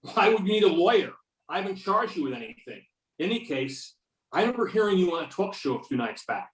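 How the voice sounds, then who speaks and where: Echoey distant recording, a man reading as confident male federal agent, higher-pitched, needling, sarcastic, stage script. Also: sing-song, quick pace. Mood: needling, stern. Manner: sarcastic, pointed questioning. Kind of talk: amateur readers-theater script reading. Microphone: videoconference or conference call. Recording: roomy echo, distant microphone.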